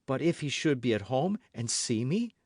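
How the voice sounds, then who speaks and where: A man reading an audiobook as an anxious, guarded young gentlewoman who speaks in a formal, fretful, pleading way. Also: medium pitch, very animated, normal speed, husky, dry recording, clean studio sound, voice pushed up. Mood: worried.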